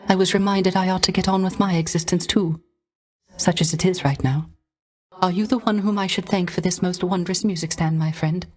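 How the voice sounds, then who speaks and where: A man playing a gentle, melancholy dead musician in a video game, with a soft, light voice and wistful, courtly, hesitant phrasing. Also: high voice. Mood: gracious, pleased. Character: gentle, melancholy dead male musician mourning lost love. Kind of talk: theatrical video game character acting.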